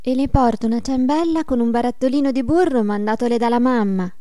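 A woman's voice: a little high, brisk, dark tone, no room sound, studio quality, pitched above the performer's own voice, close to an everyday voice. A woman voicing a naive, sweet little village girl in a storybook, innocent and wide-eyed. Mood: innocent, eager.

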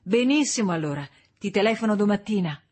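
A woman reading an audiobook voices a young wife being chatty and politely bright on the telephone. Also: medium pitch, expressive, brisk, husky, voice pushed up.

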